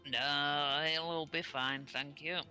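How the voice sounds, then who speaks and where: A man's voice: fairly high pitch, fairly even intonation, slow pace, sharp tone, soft background music. A man chatting casually as a player in a tabletop game. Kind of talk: casual chat.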